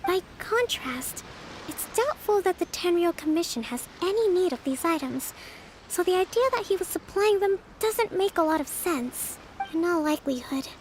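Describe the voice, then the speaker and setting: A composed young female leader and strategist reasons aloud in a measured, thoughtful way. Her voice sits very high and light, with a faint ambient hum behind it. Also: rough recording.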